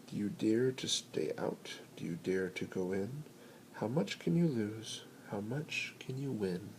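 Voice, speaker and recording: A man reading a children's picture book aloud in an expressive, playful, rhythmic way with dramatic pauses. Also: mid-range voice, vocal fry. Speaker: bedtime story reader. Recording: noisy amateur home recording.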